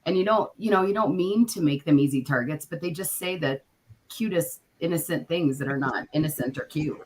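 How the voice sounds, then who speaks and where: A woman co-hosting a podcast, hoarse from a chest cold, with a naturally low voice; she rambles, giggles and trails off with "I don't know". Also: a little fast, darkish tone, rough recording. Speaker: podcast co-host. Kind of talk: casual chat.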